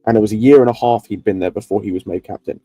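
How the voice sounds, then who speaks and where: A man's voice: on the low side, very fast, dark tone, dry recording. A man on a casual football chat who argues his case and explains it step by step.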